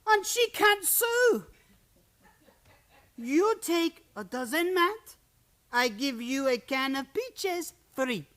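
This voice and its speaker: Woman playing a high-pitched wheedling French shopkeeper. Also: sing-song, slow pace, pauses often, a bit bright, full character voice. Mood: exasperated, bargaining. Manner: coaxing, haggling. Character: wheedling French shopkeeper Moreau, eager haggling salesman. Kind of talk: western radio play acting.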